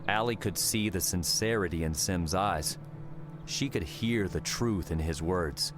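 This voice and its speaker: A man with a low voice reads a fiction audiobook. His narration is scripted and dramatic, laid over clearly audible music. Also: a little slow. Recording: background music under the voice.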